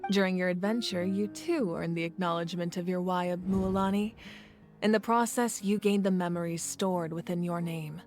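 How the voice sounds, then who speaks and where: A woman playing a composed, resolute war leader and fire deity in a video game, speaking in a measured, formal, earnest way. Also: lowish voice, fairly even intonation, a little mellow, soft background music, natural voice. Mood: solemn, explanatory. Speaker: video game character actor. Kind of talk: scripted game dialogue.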